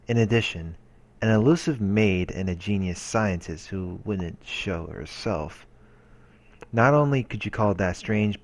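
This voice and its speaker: A man with a low voice reads visual novel narration aloud as a let's player, dropping in casual asides. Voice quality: low-pitched. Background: game music.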